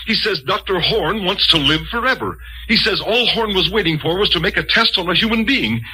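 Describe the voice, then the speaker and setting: An actor playing a high-strung, agitated man at a military laboratory in a 1950s radio drama. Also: animated, brisk, pushed and bright, a little hiss or hum, exaggerated voice, poor audio. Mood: flustered. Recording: old 1950s radio recording, faint music.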